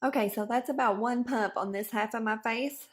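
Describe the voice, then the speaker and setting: A woman with a hoarse voice from a cold, chatting her way through a beauty product review as a vlogger. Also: a little high, normal speed. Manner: chatty, explains each step as she goes. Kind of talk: casual product review to camera.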